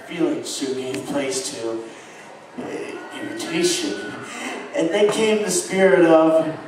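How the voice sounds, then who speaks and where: A man performing a live dramatic reading as a tormented, halting murderer confessing in a Gothic horror tale. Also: fairly high pitch, a little mellow. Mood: anguished, remorseful. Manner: halting, stammering, repeating words mid-phrase. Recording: echoey, distant live show recording, faint noise.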